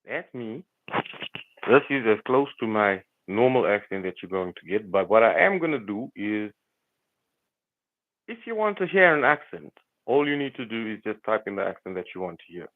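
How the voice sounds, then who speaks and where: A man's voice: on the low side, animated, moderate pace. A South African man chatting casually to livestream viewers, earnest and rambling. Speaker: livestreamer and community volunteer. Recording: tinny phone-like livestream audio.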